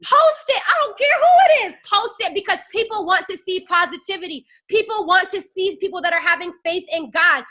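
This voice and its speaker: A young woman in her twenties with a very high, bright voice preaches a fiery motivational pep talk. She talks into a phone and keeps rallying her listeners with call-and-response. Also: a little fast, few pauses. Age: 20s. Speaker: network marketing team leader.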